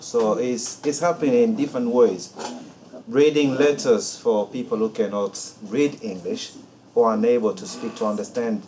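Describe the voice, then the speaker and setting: A man from Congo giving earnest, steady interview answers about community work. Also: on the low side, slight background noise.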